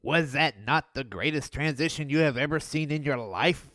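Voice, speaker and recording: A young man reviewing an old cartoon in a sarcastic, mocking voice-over with deadpan jabs. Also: a little high, normal speed, loud music behind the voice.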